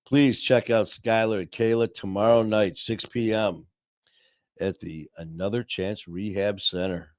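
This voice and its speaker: A man hosting a casual live stream, talking warmly to viewers in a rambling way with many fillers and restarts. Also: on the low side, fairly even intonation, a little slow, dry recording, studio quality. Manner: friendly and upbeat, addressing viewers. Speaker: livestream host.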